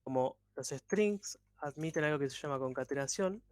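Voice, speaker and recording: A man teaches an online coding workshop, taking things step by step and patiently. His voice sits a bit high for a man. The sound is dry and close, as on a video call. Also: moderate pace, no echo. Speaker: programming instructor.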